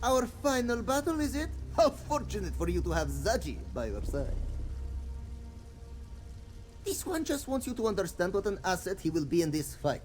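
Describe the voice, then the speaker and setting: A high, swooping male voice for a bragging cat-man in a fantasy game. He is theatrical and lovable, with nervous hesitation slipping through his bravado. Faint music plays behind him. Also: very animated, moderate pace, darkish tone. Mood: boastful, nervous. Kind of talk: video game voice acting, comic fantasy dialogue.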